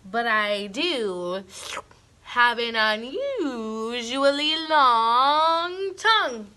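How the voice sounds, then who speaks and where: A woman's voice: on the high side, expressive, pushed and bright, heavily acted. A woman voicing a big scruffy boy monster in a storybook, slurpy and whiny, trying to sound menacing. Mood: boastful. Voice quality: slurpy, drawn-out.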